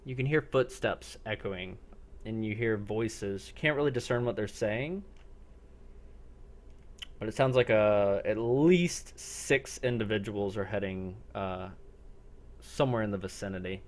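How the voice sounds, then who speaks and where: A man with a fairly low voice runs a role-playing game. He describes the scene off the cuff in a halting way and checks with players on what they do. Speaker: game master. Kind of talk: improvised narration of a tabletop-style zombie role-play.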